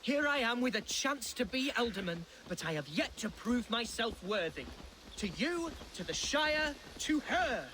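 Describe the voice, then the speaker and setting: An actor playing a young, foolish, lovesick Saxon lord in a video game, with an English accent and a florid, earnest manner. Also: male voice, very high voice, sing-song, unhurried, sharp tone, slight background noise, exaggerated voice. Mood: earnest, lovestruck. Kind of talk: video game character acting.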